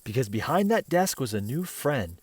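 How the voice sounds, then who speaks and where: A man reading a children's picture book aloud as an expressive storyteller, pausing for casual asides and questions to the listener. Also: medium pitch, breathy edge.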